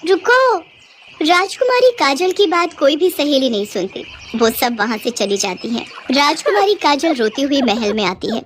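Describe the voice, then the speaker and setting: A woman's voice: high voice, very animated, normal speed, few pauses, slight background noise. A woman reading a scripted children's moral story as narrator.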